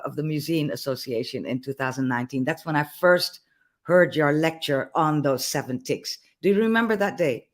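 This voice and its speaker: A man hosting a live online interview over a video call, eager and enthusiastic, often stumbling over how he begins a question. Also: a little high. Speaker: host.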